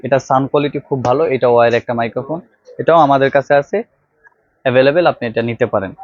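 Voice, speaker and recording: A man's voice: mid-range voice, fast talker, a little mellow, no echo. A man with a Bangladeshi accent presents products in an informal, salesman-like way, addressing viewers directly on a lapel mic. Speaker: electronics shop vlog presenter. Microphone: clip-on wired lapel microphone. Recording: dry, slightly dull lo-fi sound.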